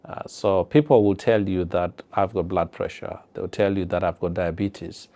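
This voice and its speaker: A man's voice: low voice, normal speed, mellow. A man with a Zimbabwean accent, a health professional giving an earnest, explanatory interview.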